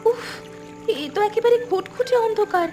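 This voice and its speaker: Very high, girlish young bride. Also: expressive, normal speed, warm tone, soft background music. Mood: uneasy devotion. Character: devoted, fearful young bride from wealthy family. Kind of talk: Bengali cartoon melodrama acting.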